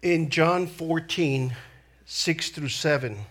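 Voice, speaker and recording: A middle-aged man from El Salvador with a Salvadoran Spanish accent, whose first language is Spanish, delivering a church sermon in an earnest, deliberate way. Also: a little high, fairly bright tone. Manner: earnest, slow and deliberate with pauses. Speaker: lay preacher.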